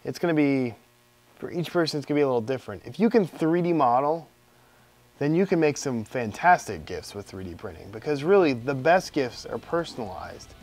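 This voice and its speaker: A man's voice: mid-range voice, brisk. A man in his thirties with a cold, casually showing off 3D-printed gifts in his home workshop, rambling with lots of ums. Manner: relaxed, rambling, with many ums and uhs. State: getting hoarse from a cold. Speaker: 3D printing YouTuber. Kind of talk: casual show-and-tell.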